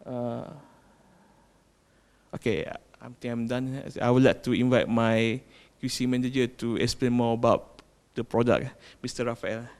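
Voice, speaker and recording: A man giving a technical product presentation, halting and repeating words as he explains a process. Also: mid-range voice, fairly even intonation, a little mellow, slight background noise. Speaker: company presenter.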